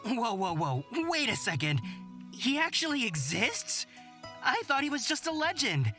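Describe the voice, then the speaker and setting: Playful, curious young fantasy storyteller. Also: male voice, very high-pitched, wildly swooping pitch, a little slow, over music, heavily acted. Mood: astonished. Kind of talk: visual novel character acting.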